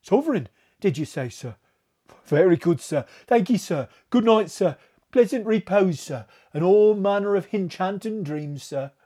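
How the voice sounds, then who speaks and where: A man's voice: high-pitched, animated, unhurried, warm tone, voice pushed up. A man putting on a jittery steward. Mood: eager. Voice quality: raised, fluttery, dropped and added h's. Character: nervous cockney male ship's steward, servile.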